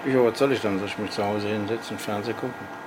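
A man's voice: on the low side, fairly even intonation, quick pace, background noise. An older man in his sixties or beyond, a shopkeeper chatting casually and bluntly. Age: 60s or older. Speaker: lottery shop keeper. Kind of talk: casual chat. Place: inside a small lottery shop.

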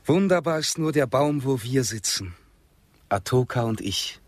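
An actor playing a carefree, devoted young Comanche chief's son in a radio drama, with a husky voice. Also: male voice, medium pitch, animated, unhurried, dry recording, lightly acted. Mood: tender, devoted.

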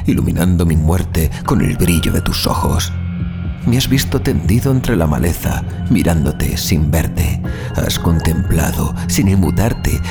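A man playing a doomed, morbidly obsessed passenger in a horror audio drama, delivering a slow, brooding literary monologue. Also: sits low, gravelly, heavily acted. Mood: dread, fascinated. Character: doomed male passenger, obsessed with his murderous chauffeur.

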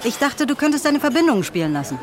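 A woman's voice: sits high, sing-song, fast. Insistent young woman over music. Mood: pleading. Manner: insistent, pointed. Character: determined, reproachful young woman, detective's partner. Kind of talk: radio drama acting.

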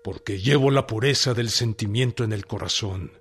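An actor playing a proud, indignant Mexican revolutionary general who declaims solemnly and emphatically in a radio drama. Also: male voice, fairly low pitch, moderate pace, slight background noise, lightly acted. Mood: fervent, defiant. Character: proud, indignant revolutionary peasant general dictating a defiant letter. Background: music.

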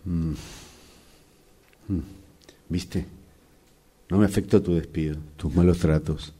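Un actor con acento rioplatense que interpreta en un radioteatro a un jefe engreído y vengativo, de tono sardónico y condescendiente. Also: male voice, deep voice, steady tone, dark tone, slightly rough, heavily acted. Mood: gloating. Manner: sardonic, deliberate, condescending. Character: smug vindictive male boss, former errand boy. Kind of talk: radio drama acting.

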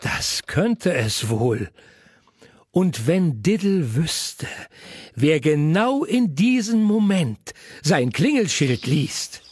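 A man narrating a children's audio story in an expressive, playful way. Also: a little high, very slow, breathy edge, no room sound.

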